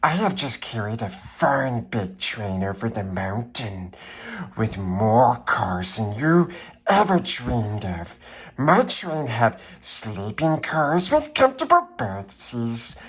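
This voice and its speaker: A man voicing a snooty male passenger engine in a storybook, boastful and dismissive, with a raspy voice. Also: medium pitch, big pitch swings, takes it slowly, fairly bright tone, heavily acted. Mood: haughty, boastful. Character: snooty, haughty shiny new passenger train engine, male. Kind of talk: storybook character voice.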